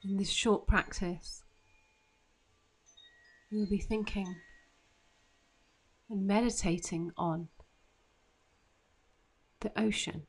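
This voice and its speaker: A woman guiding a meditation in a soothing, unhurried manner with long pauses between phrases. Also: mid-range voice, fairly even intonation, slightly rough. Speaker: meditation guide. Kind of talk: guided meditation narration.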